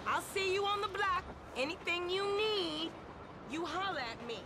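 A woman's voice: extremely high pitch, animated, moderate pace. A woman playing a brash, flirty, streetwise neighborhood character in a video game. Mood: flirty, bossy. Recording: very noisy, game traffic and engine sounds.

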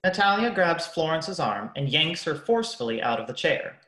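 A woman's voice: low-pitched, very animated, normal speed, a bit bright. Echoey woman narrator. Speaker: narrator of a radio play. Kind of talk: scripted narration of stage directions. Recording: echoey room.

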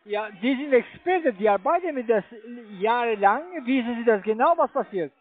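High-pitched halting man with foreign accent, phone. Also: expressive. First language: not German. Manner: halting, fumbling, hesitant. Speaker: telephone investment salesman. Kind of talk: cold sales call. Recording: tinny, band-limited phone line.

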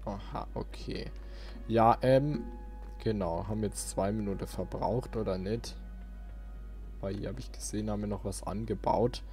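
A man's voice: lowish voice, normal speed, mellow. A man giving casual gameplay commentary as a let's play host, rambling and thinking aloud. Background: game music.

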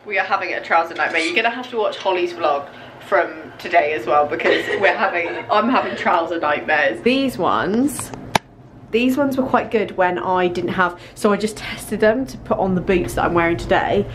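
A woman's voice: lowish voice, animated, normal speed, noisy background. A young British woman chatting informally to camera as a fashion vlogger, repeating words for emphasis while trying on clothes. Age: young adult. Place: small clothing store fitting room. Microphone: handheld vlogging camera.

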